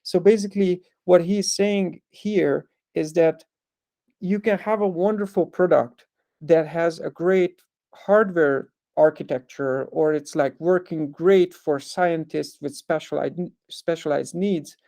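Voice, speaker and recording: A man in his thirties from Hungary, giving an online lecture over a video call, halting with many ums and likes. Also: fairly high pitch, vocal fry, mellow. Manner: hesitant, with frequent ums, uhs and likes. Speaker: guest speaker.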